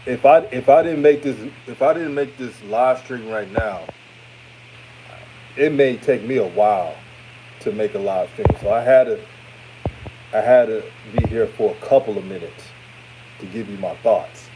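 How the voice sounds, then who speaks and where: Man, 30s, deep voice that reads older, casual live-stream sports talk, noisy hum behind. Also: normal speed, pauses often. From Houston, Texas. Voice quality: deep voice that sounds older than his age. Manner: relaxed, chatty, repeats himself, trails off. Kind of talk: casual live-stream hot takes, reading chat comments. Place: his room after a game.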